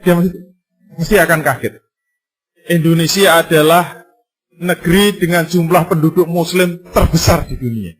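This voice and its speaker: Preacher with a higher male voice. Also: normal speed, long pauses, husky, rough recording. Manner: rhetorical questions and emphatic repetition; lively, conversational and jokey in places. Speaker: Islamic preacher. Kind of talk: sermon.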